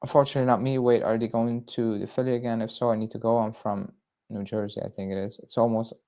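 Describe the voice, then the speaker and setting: A man's voice: medium pitch, level tone, fast talker, dark tone. A young man from Belgium hosting a casual fan livestream, rambling through viewer chat with fillers and restarts. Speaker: football fan channel host.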